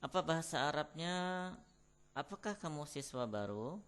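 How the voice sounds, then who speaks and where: A man with an Indonesian accent, teaching beginner Arabic by reading short Indonesian phrases as prompts and pausing for answers. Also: on the high side, expressive, fairly bright tone, clean tone. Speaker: Arabic tutor. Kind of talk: prompting vocabulary drills.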